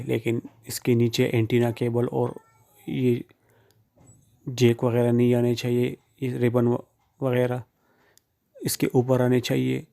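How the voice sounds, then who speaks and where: A man's voice: medium pitch, gravelly, warm tone. A man giving plain, informal step-by-step how-to instructions, speaking directly to the viewer. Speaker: phone repair tutorial presenter.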